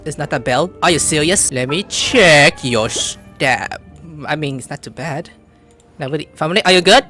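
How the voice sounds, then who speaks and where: A woman doing casual, excitable let's-play commentary, exclaiming and gushing as she talks to the characters and to herself. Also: deep voice, normal speed. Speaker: gameplay streamer. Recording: game music behind the voice.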